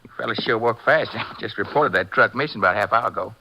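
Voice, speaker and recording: An actor playing a defensive ex-convict rancher in a 1950s radio drama, talking in a nervous, protesting way. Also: male voice, fairly low pitch, fast talker, creaky, noisy background. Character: defensive ex-convict rancher, man in his thirties. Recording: muffled old radio broadcast.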